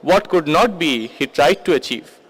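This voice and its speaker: A man with an Indian accent, a translator introducing and reading poetry in a measured, literary manner. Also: fairly high pitch, animated, fairly bright tone, husky, rough recording.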